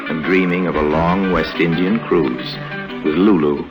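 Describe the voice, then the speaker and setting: A very low-pitched, worldly male con artist speaks with sly, self-assured irony. He tells his story in an unhurried, wry way. It is a vintage radio drama recording with music playing clearly behind him. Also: steady tone, rough recording. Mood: sly, ironic. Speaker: lead actor and narrator. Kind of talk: 1950s radio drama narration and dialogue.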